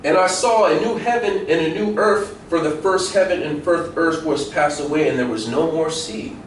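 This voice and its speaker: A man's voice: on the high side, moderate pace. An American man preaching an informal sermon, reading scripture and commenting on it in an emphatic, colloquial way with repeated phrases. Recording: very echoey room, distant mic, faint noise.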